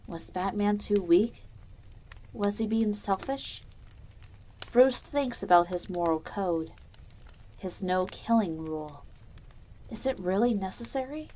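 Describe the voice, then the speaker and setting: A woman's voice: mid-range voice, animated, slow pace, pauses often, warm tone, slight background noise, poor audio. A woman reading scripted narration for a dramatic audio story.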